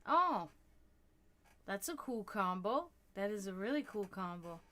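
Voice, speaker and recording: A woman born and raised in Germany, with German as her first language, chatting warmly as she reads tarot cards for viewers on a live stream. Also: mid-range voice, measured pace. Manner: chatty, animated, laughs often, talks to chat.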